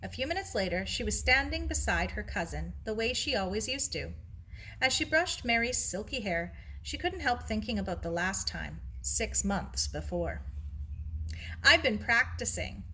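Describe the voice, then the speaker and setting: A woman narrates a storybook as a reader. Her voice is bright and lively, with a very animated range of pitch at a medium height. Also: moderate pace, husky, low-quality recording. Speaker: audiobook reader.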